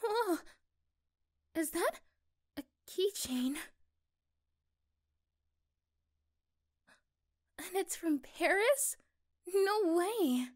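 A woman playing a gentle, affectionate young girlfriend in an ASMR roleplay, speaking softly and hesitantly with tender pauses. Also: very high-pitched, animated, heavily acted. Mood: amazed. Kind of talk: ASMR roleplay, intimate one-sided dialogue.

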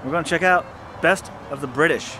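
A man's voice: fairly high pitch, brisk, over loud music. Chatty man filming himself outdoors. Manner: upbeat, chatty, enthusiastic. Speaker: vlog host. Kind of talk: casual travel vlog narration. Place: outdoors at a market and indoors in shops. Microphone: handheld camera at arm's length.

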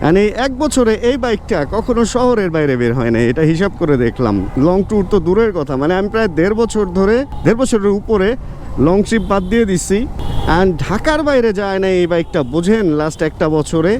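A man with a Bangladeshi accent and a notably high voice talks in a casual vlogging style. He drops English words into his sentences as he goes. The recording is made outdoors on a motorbike, with clear traffic noise around him. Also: animated, fairly quick. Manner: mixes English words into speech. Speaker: motovlogger. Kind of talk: casual vlog chat while riding. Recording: loud road and traffic noise.

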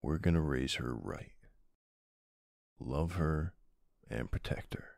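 A man playing a tough but soft-hearted mafia boss husband in an intimate ASMR roleplay, murmuring tenderly with a very deep, gravelly voice. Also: slow pace, dry recording, clean studio sound, exaggerated voice. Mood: tender, uneasy.

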